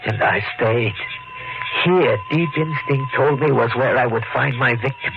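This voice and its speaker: An actor playing a haunted, guilt-ridden husband in a 1940s radio mystery, brooding and dramatic, with deliberate phrasing. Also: male voice, mid-range voice, gravelly, over music. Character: haunted, guilt-ridden husband narrating his murderous obsession. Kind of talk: 1940s radio mystery acting, narration and dialogue. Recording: noisy, dull 1940s radio recording.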